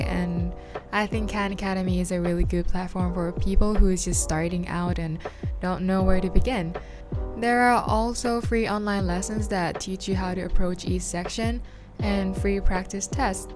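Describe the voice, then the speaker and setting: Young Thai woman, native Thai speaker, casual and chatty, mid-pitched voice over clear background music. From Thailand. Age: young adult. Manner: chatty and informal, with frequent "like" and "um". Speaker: vlogger. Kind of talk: casual study-tips vlog.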